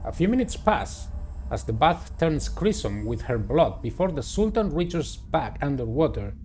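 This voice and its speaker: Male game narrator, slightly high register, theatrical horror-host delivery with formal phrasing and some hesitation, music underneath. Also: big pitch swings, unhurried, darkish tone. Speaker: host of a gaming channel, narrator. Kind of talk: playthrough commentary and read-aloud visual novel narration.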